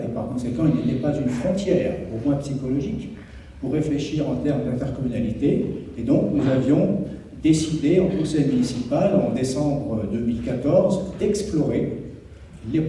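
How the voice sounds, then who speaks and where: A man, a municipal official, gives a formal, explanatory introduction to a public meeting in measured, hesitant phrases. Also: medium pitch, dark tone. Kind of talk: formal public address, partly improvised. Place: echoey indoor meeting room. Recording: echoey hall, distant mic, faint noise.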